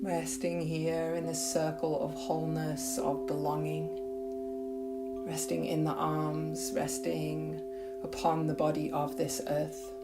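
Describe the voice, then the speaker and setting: A woman yoga nidra teacher leading a guided meditation in a gentle, unhurried, soothing way with long pauses. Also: low voice, completely flat delivery, loud music behind the voice.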